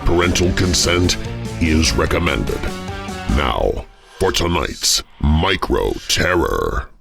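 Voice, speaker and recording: A man with a very low, gravelly voice introduces the show in a slow, ominous drawl. His pitch rises and falls widely as he stretches out each word. Plucked guitar music plays clearly underneath. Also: lively intonation. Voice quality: deep gravelly voice. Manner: slow, drawn-out, ominous delivery. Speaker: show announcer.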